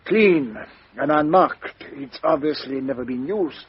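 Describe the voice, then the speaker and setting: An actor playing a self-assured, fussy, courteous male detective with a French accent and precise, halting delivery in a radio mystery drama. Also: on the high side, slightly rough. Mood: curious, probing. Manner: precise, smug, hesitant pauses and repetitions. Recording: dull lo-fi radio drama recording.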